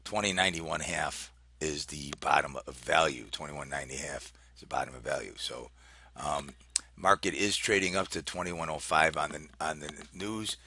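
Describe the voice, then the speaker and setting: Male market analyst, very low voice, dry close sound, off-the-cuff and halting delivery. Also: pushed and bright, breathy edge, dry recording. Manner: halting, thinking aloud while reading out numbers. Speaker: market analyst hosting a trading briefing. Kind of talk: informal unscripted market commentary.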